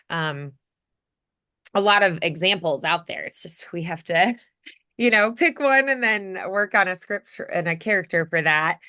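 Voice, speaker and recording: Lady voice coach chatting casually, kinda low smooth voice, thinks out loud, says like a lot. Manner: hesitant, thinking aloud, peppered with "like". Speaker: voice acting teacher. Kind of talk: casual one-on-one lesson chat.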